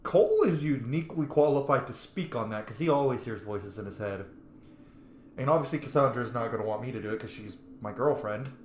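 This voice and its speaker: A man's voice: medium pitch, animated, fairly quick, a little mellow. A man playing a video game and commenting on it casually, chatty and opinionated. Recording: echoey room, faint background noise.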